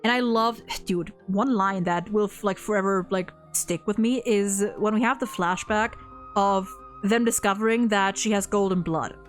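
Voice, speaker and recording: A woman in a casual, excited fan discussion, effusive and slangy, rambling with lots of repeated "likes". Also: mid-range voice, moderate pace, soft background music. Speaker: video host discussing a game.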